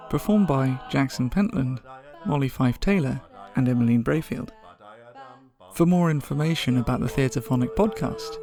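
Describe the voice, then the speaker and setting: A male announcer with a mid-pitched voice reads scripted podcast credits. Music plays faintly behind him as he goes through the production details. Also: mellow.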